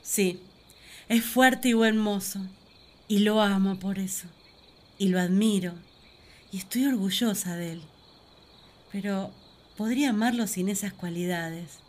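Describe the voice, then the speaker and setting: An actress playing a devoted, thoughtful wife in a radio play, speaking in an earnest, reflective, measured way. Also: female voice, medium pitch, lightly acted. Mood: tender, reflective. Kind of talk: radio play monologue, dramatized diary.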